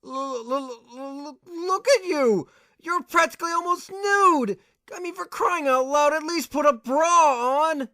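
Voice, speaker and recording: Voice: high-pitched, measured pace, bright, forceful tone, no echo, exaggerated voice. An actor playing a frustrated, easily flustered young male student in an amateur audio drama.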